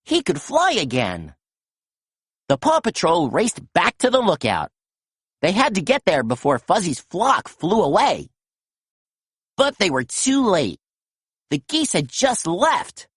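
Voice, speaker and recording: A woman reading a children's picture book aloud in a gentle, expressive storytelling manner. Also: fairly low pitch, slow, pauses often, slightly rough, no echo, studio quality. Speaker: storytime reader.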